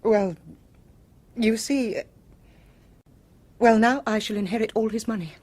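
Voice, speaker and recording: An actress playing a bitter, fatalistic wife in a radio mystery, a breathy voice and a strained, brittle delivery. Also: female voice, fairly high pitch, a little slow, a little mellow. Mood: defensive, resigned. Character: bitter, fatalistic wife who has shot her husband. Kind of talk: radio mystery drama acting.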